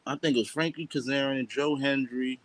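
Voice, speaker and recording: A man's voice: mid-range voice, fairly even intonation, brisk, fairly bright tone, poor audio. A man co-hosting a casual wrestling talk show, easygoing and slangy. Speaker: co-host.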